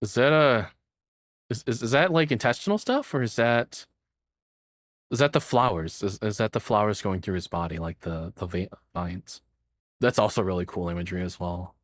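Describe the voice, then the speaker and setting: A man's voice: fairly low pitch, normal speed, dry recording. A man giving casual, enthusiastic reaction commentary as a streamer, swearing freely in an informal way.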